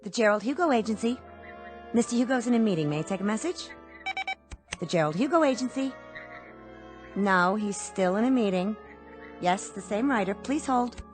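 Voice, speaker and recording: A woman playing a brisk, dry agency receptionist in a radio drama, clipped and businesslike. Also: mid-range voice, lively intonation, lightly acted. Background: music.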